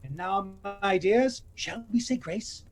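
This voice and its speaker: An actor playing a gentle, devoted poor father in a Victorian audio play, tender and expressive. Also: high voice, slow pace, heavily acted. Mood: reverent. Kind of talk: audio play acting, old radio drama style.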